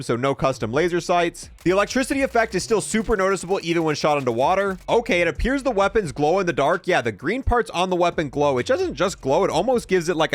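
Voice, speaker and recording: A man giving casual, offhand commentary as he showcases a video game, with some dry humor. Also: fairly high pitch. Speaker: gaming video presenter.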